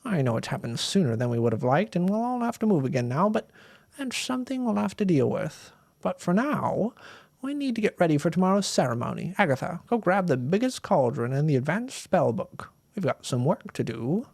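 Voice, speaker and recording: A man's voice: high voice, big pitch swings, fairly quick, creaky, darkish tone, dry recording, voice pushed up, heavily acted. A man voicing a sly, soul-eating old woman witch in a storybook reading, sweetly menacing and theatrical. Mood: brisk, scheming.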